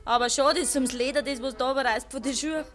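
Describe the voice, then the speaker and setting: A woman playing a pert, sharp-tongued young farm maid with a Bavarian accent and a teasing manner in a 1950s radio play. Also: sits high, steady tone, a little fast. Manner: teasing, cheeky.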